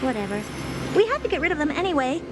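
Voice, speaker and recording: Haughty high-voiced genius scientist. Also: female voice, big pitch swings, fast, background noise. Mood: dismissive. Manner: condescending, blunt, offhand. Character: haughty, aloof genius woman scientist, dismissive and impatient. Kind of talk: video game character acting.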